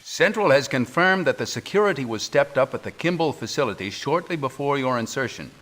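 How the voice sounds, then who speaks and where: A stern military commander acted by a man, middle-aged, reports in a video game briefing. His mid-range male voice is measured and official, with formal military reserve. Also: medium pitch, expressive, a bit bright, close to an everyday voice. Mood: official, serious.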